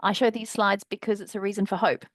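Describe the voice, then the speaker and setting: A woman's voice: medium pitch, level tone, fast talker. A woman from New Zealand giving a friendly, fluent presentation on a webinar video call. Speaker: sustainability consultant presenter.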